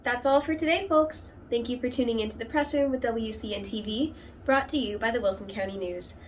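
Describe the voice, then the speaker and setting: A woman's voice: fairly high pitch, a little fast, recorded from a distance, slight background noise. A woman hosting a local news show, presenting and asking questions in a scripted style.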